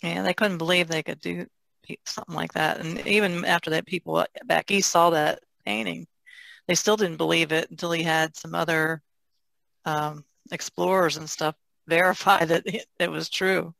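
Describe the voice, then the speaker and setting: Woman on a podcast, super creaky, pretty monotone, dry close sound. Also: fairly low pitch, moderate pace, dry recording. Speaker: podcast co-host. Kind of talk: casual discussion.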